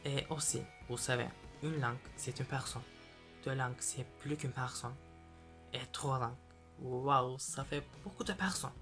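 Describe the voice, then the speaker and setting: A man's voice: medium pitch, unhurried. Earnest young man with a light flourish. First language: Turkish. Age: young adult. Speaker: university language student. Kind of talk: reciting a prepared answer. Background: soft background music.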